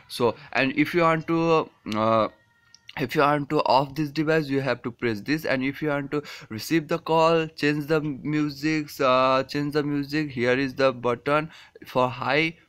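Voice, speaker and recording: A young man with a Nepali accent talks through a casual product review. His pace is slow and hesitant, broken up by frequent fillers, and his pitch sits in the middle for a man. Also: a bit bright, clear, resonant tone. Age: 20s. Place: small furnished room indoors.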